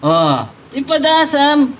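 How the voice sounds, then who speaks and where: A man's voice: extremely high pitch, sing-song, slow, fairly bright tone, slight background noise, exaggerated voice. A man acting a cocky, taunting young rival in an Ilocano romance drama, with an Ilocano accent.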